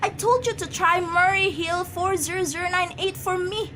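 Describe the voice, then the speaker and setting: A woman acts out a frail, imperious wife in a radio play, very high and piercingly bright. She stammers and frets, agitated and demanding. A faint noise sits on the amateur recording. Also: fairly even intonation, moderate pace. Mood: indignant, demanding. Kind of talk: amateur radio drama acting.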